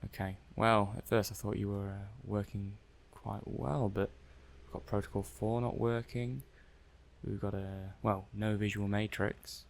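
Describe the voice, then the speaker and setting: A man's voice: fairly low pitch, flat delivery, a little slow, gravelly. A man playing a mild, methodical robot-testing technician in an ASMR roleplay, muttering hesitantly and matter-of-factly to himself. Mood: mildly concerned. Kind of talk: soft-paced ASMR roleplay monologue.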